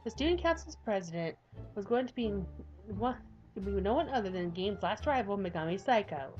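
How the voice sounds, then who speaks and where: A woman's voice: mid-range voice, muffled recording. A woman streaming a game, reading a visual novel's text aloud and reacting between passages. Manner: expressive, reads text then reacts with sighs and laughs. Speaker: gameplay streamer.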